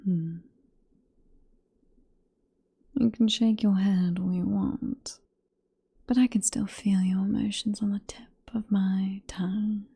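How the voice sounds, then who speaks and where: A woman with a British accent playing a possessive, seductive vampire professor in a soft, breathy whispered ASMR roleplay, coaxing and teasing with condescending affection. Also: medium pitch, steady tone, unhurried, full character voice. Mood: tender, possessive.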